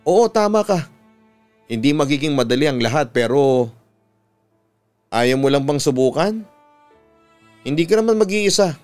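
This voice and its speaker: A man's voice: on the high side, animated, normal speed, pauses often, a little mellow, clear, resonant tone, soft background music, natural voice. A Filipino man voicing an earnest, devoted suitor, insistent and tender, as in a radio drama. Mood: tender, pleading.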